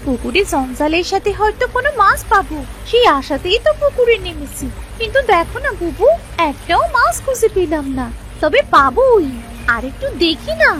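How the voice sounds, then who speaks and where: A woman's voice: extremely high pitch, very animated, moderate pace, a bit bright, slight background noise, voice pushed up, exaggerated voice. A woman voicing a restless, scrappy village girl with a whiny, childlike manner for a cartoon. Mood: pleading, eager. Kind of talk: Bengali cartoon voice acting.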